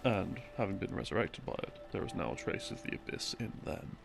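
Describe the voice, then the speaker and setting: Easygoing boyfriend played by a man for sleep-aid roleplay: heavy vocal fry, lively swings in pitch, casual rambling lore narration. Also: noisy background, heavily acted. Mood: relaxed storytelling. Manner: casual, unhurried, conversational asides and hesitations. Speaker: audio roleplay performer. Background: background music.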